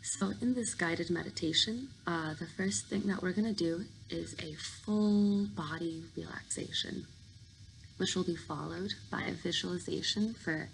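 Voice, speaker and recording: A woman with a slightly low, crackly voice guides a sleep meditation at a very unhurried pace. She sounds breathy and soothing, giving gentle, informal instructions. There is some audible noise behind her. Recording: clear background noise.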